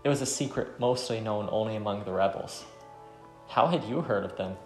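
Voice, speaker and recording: A man's voice: on the low side, brisk, darkish tone, lightly acted. A man voicing a resolute, regretful, war-weary wanderer in a visual novel. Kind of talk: visual novel dialogue read aloud. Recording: roomy sound, faint music.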